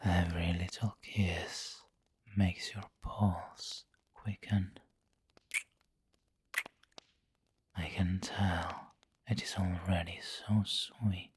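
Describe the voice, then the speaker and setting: A man performs a refined, seductive male vampire lord in an intimate ASMR roleplay. The voice is deep and extremely breathy, mostly whispered. He speaks very slowly, with formal, doting courtesy. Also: little pitch movement, heavily acted. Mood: seductive, tender. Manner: slow, intimate, formal wording.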